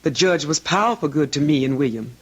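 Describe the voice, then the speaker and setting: An actress playing an anxious, long-suffering older housekeeper, deferential and pleading, in old-time radio drama style. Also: female voice, sits low, animated, brisk, husky, highs cut off. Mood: humble, anxious. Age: middle-aged or older.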